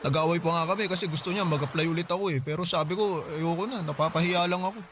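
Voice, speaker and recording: A man's voice: high-pitched, quick pace, heavy background noise, lightly acted. An actor playing a hard-up, defensive young Filipino man pleading with his older sister in a radio drama. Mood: downcast. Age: young adult.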